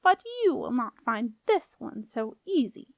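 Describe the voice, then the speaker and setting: A woman voicing a grumpy male doorkeeper from a storybook, with a squeaky high voice and a sneering, sing-song, chanting delivery. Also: sing-song, a little slow, a little mellow, no room sound, studio quality. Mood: irritated. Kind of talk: storybook character voice.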